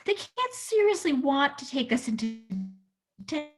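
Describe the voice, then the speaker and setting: A woman's voice: sits high, very animated, a little slow, over music. A woman narrating a comedy with sassy melodrama. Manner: dramatic, sassy.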